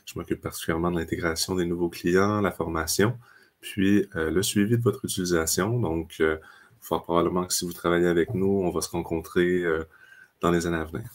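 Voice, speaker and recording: Deep-voiced man presenting software. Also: a little fast. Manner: steady explanatory, frequent fillers. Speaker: customer success manager. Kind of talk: webinar product presentation.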